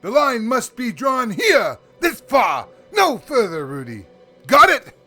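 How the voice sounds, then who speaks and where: A grumbling, big-swinging Santa Claus. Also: male voice, extremely high pitch, wildly swooping pitch, slow pace, pushed and bright, shouting, soft background music, heavily acted. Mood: indignant, defiant. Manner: theatrical, grumbling. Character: weary, indignant Santa Claus, big jolly boss turning rebel. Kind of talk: audio drama acting.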